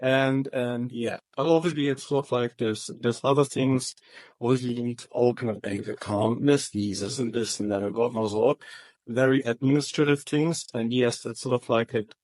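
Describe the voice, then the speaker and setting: A man answering interview questions about his company, speaking in halting phrases with frequent restarts. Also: mid-range voice, steady tone, darkish tone, no room sound. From Germany. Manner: halting, many restarts and repeated words. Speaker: roofing company manager.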